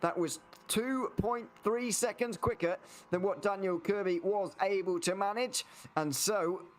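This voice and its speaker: A man's voice: high voice, measured pace. A man co-commentating a sim race live, hesitant with many fillers before he picks up the call.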